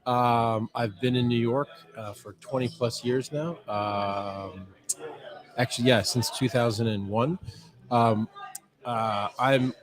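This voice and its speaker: A man from Southern California, a club board member, talking casually in an interview with many pauses and fillers. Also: mid-range voice, steady tone. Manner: hesitant, many fillers and restarts. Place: club bar during a social evening. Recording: loud crowd chatter behind.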